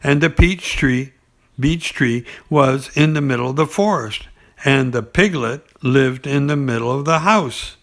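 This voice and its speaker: A man reading a children's bedtime story in an unhurried, halting way, with pauses and small stumbles. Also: mid-range voice, a bit bright. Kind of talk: bedtime story reading with asides and character voices.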